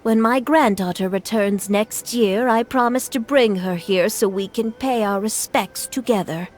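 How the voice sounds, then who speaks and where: A woman voicing a polite, gentle elderly grandmother in a video game, reminiscing in an unhurried way. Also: medium pitch, natural voice. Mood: gentle, wistful. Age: older.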